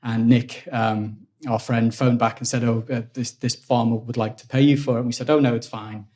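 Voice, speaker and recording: A man in his forties from Liverpool with a Liverpool accent, a guest telling stories in a relaxed interview and often repeating words while he thinks. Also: mid-range voice, normal speed. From Liverpool, England. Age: 40s. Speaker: guest, engineering executive. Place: office at company headquarters.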